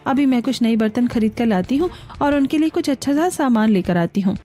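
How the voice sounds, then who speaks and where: Lady doing chatty young daughter in law, high voice, kinda stubborn, cartoon story, music under it. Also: brisk, mellow, close to an everyday voice. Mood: eager, insistent. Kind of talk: Hindi cartoon family story dialogue.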